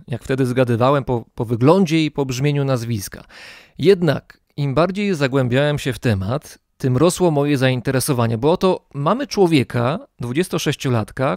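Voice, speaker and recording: Guy doing scripted podcast host narration, mid pitch, really dry close sound. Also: a little mellow, no room sound.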